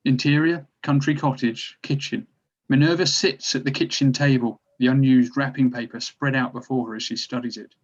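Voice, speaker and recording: A man's voice: mid-range voice, steady tone, moderate pace, highs cut off. A man narrating stage directions from a script at a drama table read.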